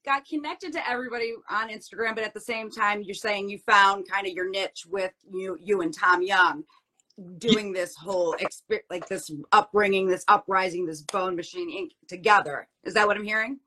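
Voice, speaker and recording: A woman with a very bright voice at a medium pitch, co-hosting a casual interview. She is inquisitive, rephrasing and probing as she talks. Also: normal speed, poor audio. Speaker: co-host interviewer.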